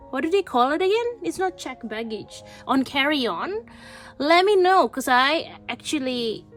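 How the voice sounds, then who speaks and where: A woman vlogger chatting casually as she shows stationery on her desk, her voice a bit congested from a cold, with many fillers and restarts. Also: medium pitch. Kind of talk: casual show-and-tell chat. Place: indoors at a desk.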